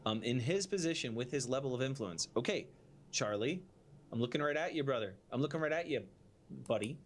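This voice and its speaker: A man's voice: mid-range voice, expressive, fairly quick. A man delivering an earnest, indignant monologue straight to his viewers, speaking emphatically as an online creator.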